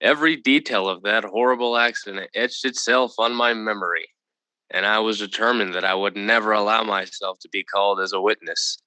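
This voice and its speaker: An actor playing a grim, haunted witness narrating intensely in a 1950s radio thriller. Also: male voice, fairly low pitch, steady tone, brisk, sharp tone, no echo, rough recording. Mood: grim dread. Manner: intense, dramatic first-person narration.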